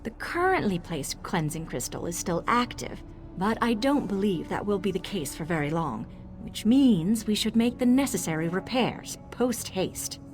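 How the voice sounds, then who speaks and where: A woman plays a brisk, self-assured engineer from a fantasy clockwork city. Her voice sits at a middle pitch and she explains things with precise, technical confidence, as in video game quest dialogue. Soft music plays underneath. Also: expressive, natural voice. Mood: confident, explanatory.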